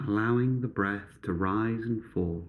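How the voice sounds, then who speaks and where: A man guiding a meditation in measured, instructive short phrases with pauses between them. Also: lowish voice, narrow, tinny audio. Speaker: meditation guide.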